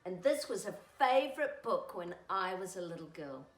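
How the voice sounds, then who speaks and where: A woman hosting storytime, speaking in an animated, singsong way to young children as she reads a picture book. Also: lowish voice, normal speed, reverberant. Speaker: storytime host and children's author.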